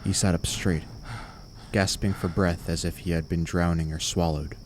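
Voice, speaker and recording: A man narrating a scripted horror story in a suspenseful, deliberate way with dramatic pauses. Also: deep voice, steady tone, a little mellow, slight background noise.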